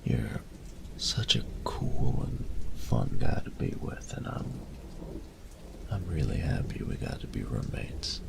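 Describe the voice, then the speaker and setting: Man acting as a tender young male roommate, romantic audio roleplay, heavy vocal fry, lively intonation, breathy murmur, faint rain and thunder behind. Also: extremely low pitch, slow pace, noisy background, full character voice. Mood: nervous, earnest. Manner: soft breathy murmurs, teasing pet names.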